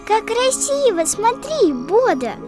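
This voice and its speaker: A woman's voice: very high voice, big pitch swings, slow pace, music in the background, full character voice. A woman voicing a young child in an educational cartoon, helpfully explaining and correcting. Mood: helpful, explanatory.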